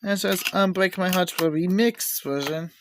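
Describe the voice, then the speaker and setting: A woman giving a casual, unscripted show-and-tell with halting, rambling delivery. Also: fairly low pitch, noisy background. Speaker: video maker showing cassette tapes.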